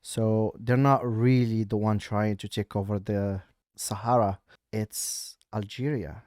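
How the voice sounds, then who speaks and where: A man with a Moroccan accent, live-streaming a casual, teasing chat, halting now and then with fillers. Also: fairly low pitch, steady tone, darkish tone, no room sound, studio quality.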